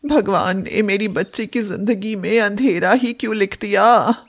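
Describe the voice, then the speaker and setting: Woman acting a slow, sorrowful poor mother. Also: mid-range voice. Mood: worried, tender. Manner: sorrowful, tender. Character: poor, worried, ailing loving mother.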